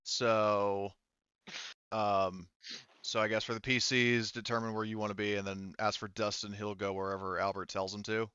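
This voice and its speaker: Bright, low-voiced man as game master. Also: level tone, smooth, dry recording, dull audio. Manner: halting, thinking aloud. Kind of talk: casual online tabletop game narration.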